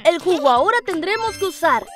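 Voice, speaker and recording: A woman's voice: extremely high pitch, animated, brisk. A woman voicing a cheerful young cartoon lion cub, narrating in bouncy sing-song rhymes. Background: children's music.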